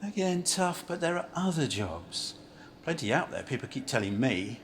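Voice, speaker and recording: A blunt, world-weary man down on his luck acted by a man in an amateur radio drama. He is bitter and cynical, worn by grief, and his pitch rises and falls widely. It is a home recording with faint noise behind him. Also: sing-song, moderate pace. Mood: bitter, dismissive. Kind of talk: amateur radio play acting.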